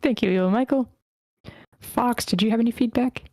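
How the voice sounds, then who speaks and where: A woman with a mellow, slightly high voice moderates an informal meeting. The sound is dry, without room echo. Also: fairly quick. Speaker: moderator of a table-read meeting. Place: online video meeting.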